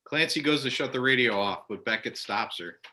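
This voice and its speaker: A man's voice: medium pitch, animated, a little fast, bright, forceful tone. A man reading scripted narration and stage directions for a readers theater play.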